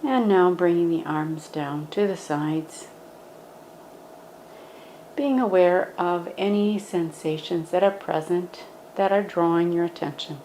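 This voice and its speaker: A woman gently guiding a standing yoga meditation, speaking in a calm, instructive way. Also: fairly low pitch, takes it slowly, slight background noise, poor audio.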